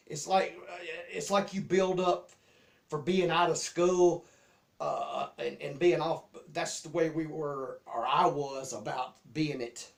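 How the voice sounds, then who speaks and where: A middle-aged man with a Southern US accent casually tells personal stories in a rambling, folksy way, often repeating his words. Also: medium pitch. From Memphis, Tennessee area. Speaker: host telling childhood memories.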